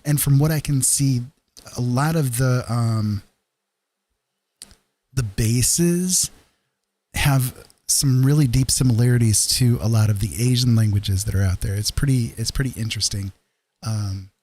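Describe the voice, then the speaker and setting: This is an American man with an average-pitched voice hosting a casual livestream. He speaks in a friendly, rambling manner, addressing his viewers directly. His delivery is informal and reassuring. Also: unhurried, long pauses, mellow. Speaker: live streamer host.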